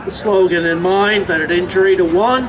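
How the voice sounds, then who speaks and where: Man, union speaker, high voice, earnest rally speech, distant mic, crowd clearly audible. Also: fairly even intonation. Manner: measured and earnest, with occasional fillers. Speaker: union representative. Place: outdoor rally in a city.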